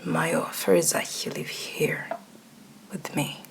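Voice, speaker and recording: A woman voicing a domineering, smug male boss in a hushed, breathy, commanding manner for an ASMR roleplay. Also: low-pitched, level tone, slow, full character voice.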